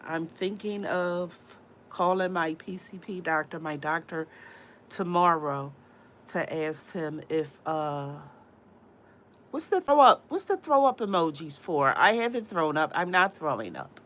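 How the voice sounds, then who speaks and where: A woman's voice: on the low side, very animated, measured pace, a bit bright. A woman with a cold and a congested voice, rambling in casual chat to her livestream viewers. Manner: rambling, talks straight to viewers. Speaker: livestream host.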